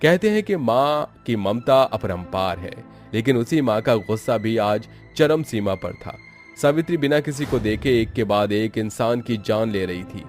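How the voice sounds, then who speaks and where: A man's voice: lowish voice, expressive, measured pace, soft background music. A man narrating a scripted horror story for a cartoon.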